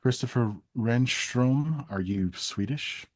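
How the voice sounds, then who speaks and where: Guy co-hosting a stream, medium pitch, wry and kinda halting, just riffing casually. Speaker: live stream co-host. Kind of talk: casual unscripted banter.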